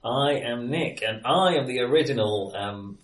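A man hosting a film podcast in casual conversation, speaking haltingly as he searches for his words. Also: mid-range voice, expressive. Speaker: podcast host. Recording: roomy echo, distant from microphone.

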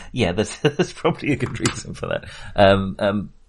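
A man co-hosting a film podcast in casual discussion, with a halting, hesitant and amused way of talking. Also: on the low side, animated, slight background noise.

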